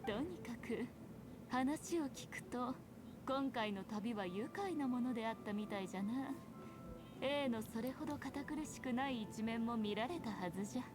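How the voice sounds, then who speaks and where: A woman playing a haughty, playful ancient fox shrine maiden in an anime game, speaking in an archaic, knowing, measured way. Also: on the high side, natural voice. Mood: wry, knowing. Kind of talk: Japanese anime game dialogue acting. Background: game music.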